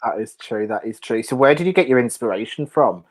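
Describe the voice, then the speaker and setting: A man's voice: mid-range voice, animated, brisk. A man interviewing a guest casually as the host of a chat show.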